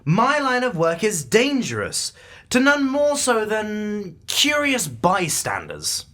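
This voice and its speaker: Brusque, secretive agent with lively pitch. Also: male voice, takes it slowly, exaggerated voice. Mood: scornful, warning. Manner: clipped, formal, scornful. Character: brusque, secretive male agent, impatient and dismissive. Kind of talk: audio drama acting.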